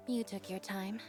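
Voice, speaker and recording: Slow, deadpan young assistant. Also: female voice, a little high. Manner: deadpan, understated. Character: deadpan, reserved young woman, magician's assistant. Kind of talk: video game voice acting.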